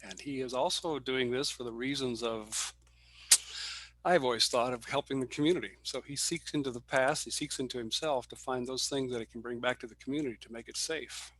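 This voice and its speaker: Guy doing a casual tarot reading on zoom, musing, average pitch, dry sound. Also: fairly quick, fairly bright tone, no echo, low-quality recording. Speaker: tarot reader at an online gathering. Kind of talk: informal explanation. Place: video call.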